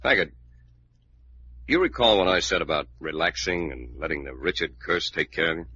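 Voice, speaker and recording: An actor playing a coolly menacing, controlled man who speaks with dry, deliberate threat in a radio drama. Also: low voice, sharp tone. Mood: quiet menace. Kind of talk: old-time American radio drama acting. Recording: dull, faintly noisy old radio recording.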